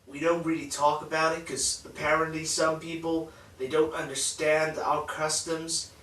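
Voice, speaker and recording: A man playing a profane, bullying spoiled son of a crime boss, in a dark comic drama. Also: fairly high pitch, little pitch movement, normal speed, lightly acted. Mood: low, confiding. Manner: profane, aggressive, ranting. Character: foul-mouthed bullying spoiled heir of a crime boss father. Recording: roomy echo, distant microphone.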